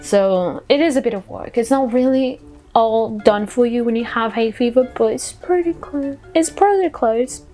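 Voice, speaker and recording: Woman, foreign accent, higher-pitched voice, casual vlog explaining, self-deprecating, soft music underneath. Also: moderate pace. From outside the UK. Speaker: vlogger.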